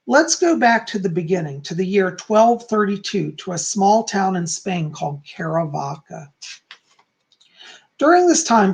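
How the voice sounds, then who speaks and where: Hoarse, calm, gravelly-voiced man. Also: high voice. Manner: calm, soft-spoken. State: hoarse, losing his voice. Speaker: psychic teaching about folk magic. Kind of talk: livestream teaching, reading aloud from a book and chat comments.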